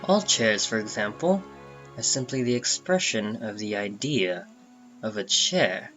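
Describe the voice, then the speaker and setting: A man's voice: on the low side, expressive, a little slow, a bit bright, dull audio. A man narrating a comic book dramatically, with theatrical, emphatic phrasing. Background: background music.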